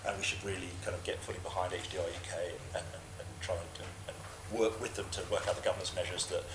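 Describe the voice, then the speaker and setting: A man on an expert panel, answering questions in a halting way with many repeated words. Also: low voice, fairly even intonation. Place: large parliamentary committee room. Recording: echoey room with background noise.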